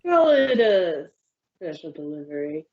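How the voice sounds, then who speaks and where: Sly male trickster creature, played by a woman, mellow, smooth and teasing, for a fantasy audio drama; slightly muffled recording. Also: lowish voice, very animated. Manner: smooth, teasing, drawn-out delivery.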